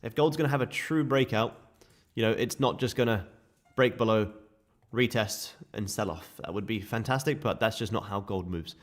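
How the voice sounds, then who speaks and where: A man with a sore, croaky throat, casually walking viewers through live trading charts. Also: fairly low pitch, moderate pace, hardly any pauses. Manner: casual, chatty explaining to viewers. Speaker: day trading streamer.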